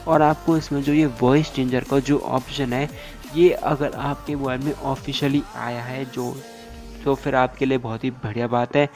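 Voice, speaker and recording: A man's voice: mid-range voice, flat delivery, normal speed, darkish tone, faint music behind. A man giving a step-by-step phone tutorial as a YouTuber, repeating instructional phrases and mixing in English tech terms.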